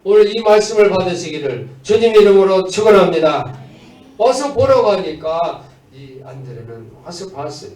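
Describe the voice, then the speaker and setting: A male pastor delivers a church sermon in a fairly high voice. He exhorts the congregation, moving between firm proclamation and plain conversational asides. The sound is echoey and picked up a little away from the microphone. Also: very animated, normal speed, a little mellow. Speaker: pastor preaching. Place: indoors at a church service. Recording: echoey church room, distant mic.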